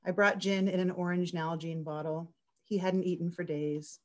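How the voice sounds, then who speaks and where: A woman's voice: on the low side, level tone, normal speed. A woman speaking on a video call as a festival organizer and writer, chatting and reading her essay aloud.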